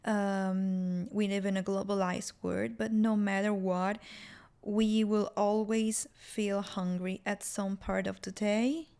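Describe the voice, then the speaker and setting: A woman's voice: medium pitch, little pitch movement, takes it slowly, vocal fry, mellow, smooth. A young woman from Italy with a strong Italian accent, speaking reflectively as a guest in an interview conversation. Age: young adult. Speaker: podcast guest, student.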